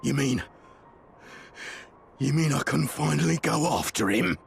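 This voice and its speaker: A man's voice: medium pitch, lively intonation, unhurried, creaky, slightly rough, soft background music, exaggerated voice. An actor playing a fawning, vengeful henchman in a video game, theatrical and manic. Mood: manic glee. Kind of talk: dramatic video game cutscene acting.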